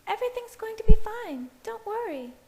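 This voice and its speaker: A woman's voice: very high-pitched, very animated, normal speed, a little mellow, voice pushed up, exaggerated voice. A woman voicing an earnest, insistent young female vampire who reassures others, in an audiobook story. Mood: reassuring, insistent. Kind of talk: audiobook character voice. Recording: hissy home recording.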